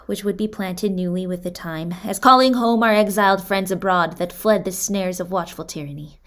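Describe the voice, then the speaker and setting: A woman's voice: lowish voice, close to an everyday voice. A woman playing a dignified young monarch in a Shakespearean radio drama, formal and stately in delivery. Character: dignified young new monarch addressing thanes.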